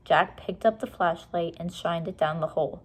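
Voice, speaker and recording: A woman's voice: fairly low pitch, normal speed, slightly rough. A woman reading a children's storybook aloud expressively, explaining things simply and casually to young listeners.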